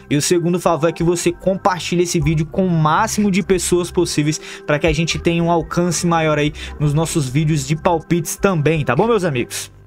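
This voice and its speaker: A Brazilian-accented man with a notably high voice runs through football bets over a screen recording. He talks in a quick, chummy way, calling his viewers "family" and "the crew". Soft music hums quietly behind him. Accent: Brazilian Portuguese. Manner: upbeat, chummy, calls viewers "rapaziada" and "família". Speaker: football betting tipster.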